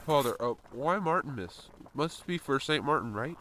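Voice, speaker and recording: A weary, wounded American soldier, played by a male actor, with a bright, forceful voice. He is wary and plain-spoken, faltering over his words in a family audio drama. The room is echoey, with faint noise behind him. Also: mid-range voice, expressive, normal speed, lightly acted. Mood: curious.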